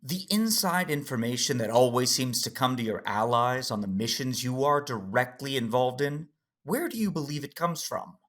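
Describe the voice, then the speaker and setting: A man playing a calm, knowing alien Jedi in an audio drama, with a husky voice and an understated, gently challenging manner. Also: mid-range voice, moderate pace.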